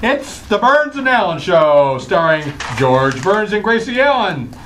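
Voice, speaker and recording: Animated radio announcer played by a man. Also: sits high, a little slow, slight background noise, full character voice. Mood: announcing. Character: radio announcer and eager, friendly male sidekick. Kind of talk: old-time radio announcing and comedy.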